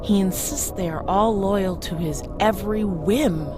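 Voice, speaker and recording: A woman's voice: mid-range voice, measured pace, music in the background, natural voice. A woman playing a stern Klingon starship commander in a sci-fi audio drama, speaking in a formal, clipped military way. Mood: grave.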